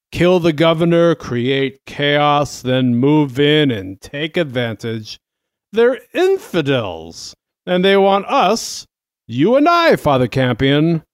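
A man's voice: on the high side, dry recording, studio quality. A man playing a stern old cardinal in an audio drama, grave, suspicious and zealous, with a sermonizing manner.

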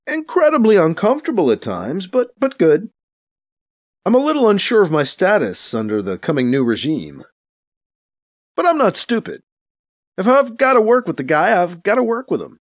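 Man acting a wry, animated, sarcastic guy. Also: high voice, pitched above the performer's own voice. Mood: awkward cheer. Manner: halting, joking, sardonic. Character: wry, sarcastic man, uneasy but putting on good cheer.

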